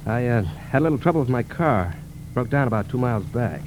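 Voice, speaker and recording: An American actor playing a tense, suspicious young killer on the run, curt and guarded, in 1940s radio drama style. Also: male voice, medium pitch, animated, a little fast, noisy background, natural voice. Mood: guarded, polite. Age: young adult. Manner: curt, guarded, clipped answers. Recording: old 1940s radio broadcast, music behind.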